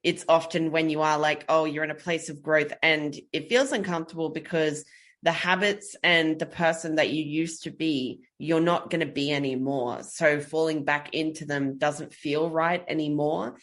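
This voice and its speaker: A woman's voice: low-pitched, steady tone, normal speed. A woman speaking as a guest on a podcast in casual chat. She talks thoughtfully and earnestly, explaining her views at length.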